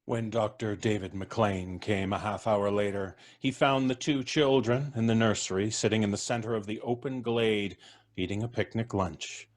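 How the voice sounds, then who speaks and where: A man narrating an audio drama in a measured, grave delivery. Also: lowish voice, steady tone.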